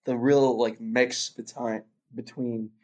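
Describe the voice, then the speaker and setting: A young man, born in Russia, talks through an interview in a relaxed, meandering way. His voice sits at an ordinary middle pitch. He fills pauses with "um" and "you know" and often restarts his sentences. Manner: rambling, many fillers and restarts. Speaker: guest. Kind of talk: casual interview chat.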